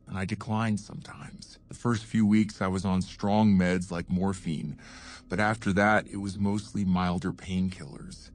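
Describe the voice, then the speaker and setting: A man with a very low, deep voice reads first-person horror stories aloud. His delivery is steady and measured, with short pauses between phrases. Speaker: storyteller narrator. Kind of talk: scripted first-person horror story narration.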